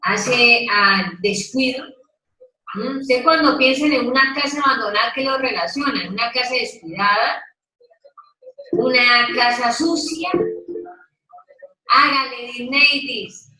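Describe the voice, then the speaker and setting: Woman leading a group lesson: slow, prompting questions, sits back from the mic in a roomy space. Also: on the high side, bright, forceful tone. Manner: slow, questioning, coaxing listeners to take part. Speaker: Bible study leader. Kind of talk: interactive Bible teaching. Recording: echoey room, mic at a distance.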